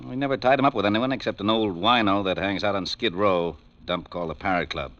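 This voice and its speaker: A man's voice: lowish voice, fast, a bit bright, no echo, close to an everyday voice. An actor playing a blunt, sceptical, exasperated male homicide lieutenant in a 1940s radio drama. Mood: matter-of-fact. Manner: blunt, sardonic, impatient. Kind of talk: 1940s radio detective acting. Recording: dull old radio recording.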